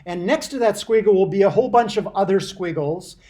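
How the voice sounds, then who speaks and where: A man lecturing to a university class, explaining deliberately with emphatic repetition and checking questions to his students. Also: high voice, animated, dark tone.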